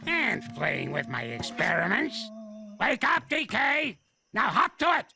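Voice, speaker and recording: An actor playing a grumpy elderly male ape inventor with a gravelly, creaky old voice, gruff and bossy. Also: very high voice, huge pitch swings, measured pace, exaggerated voice. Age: older. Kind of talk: cartoon voice acting. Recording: music playing underneath.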